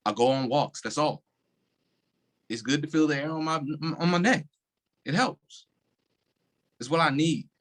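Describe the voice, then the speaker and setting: A man's voice: a little high, unhurried, long pauses, a bit bright. A man playing a weary, guarded cop. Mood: withdrawn. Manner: terse, clipped replies. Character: weary, guarded police officer, curt and bitter. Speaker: actor in a staged reading. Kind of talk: staged play reading.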